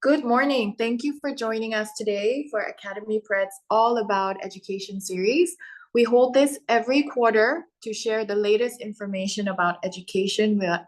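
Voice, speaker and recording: A woman in her forties, born in Korea and raised in Hong Kong, Thailand and Singapore, hosting an education webinar in a steady, organized way with frequent "uh" and "um" fillers. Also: mid-range voice. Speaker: webinar host and education center founder. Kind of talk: presenting a live webinar.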